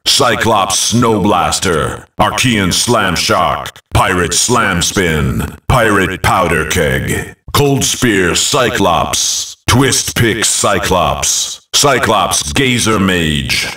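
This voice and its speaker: Deep, slow, booming game announcer. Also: male voice, fairly bright tone, echoey room, recorded from a distance, full character voice. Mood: dramatic, announcing. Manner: emphatic, clipped, dramatic delivery. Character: booming male fantasy game announcer, grandiose and emphatic. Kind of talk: punchy short announcer callouts.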